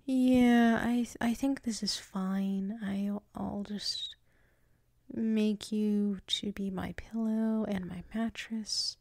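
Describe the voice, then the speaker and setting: A woman playing a flustered, submissive tsundere werewolf in an intimate ASMR roleplay, halting and murmuring, often slipping into whispers close to the mic. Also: mid-range voice, vocal fry, darkish tone, no echo. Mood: sleepy, coy. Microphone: close microphone.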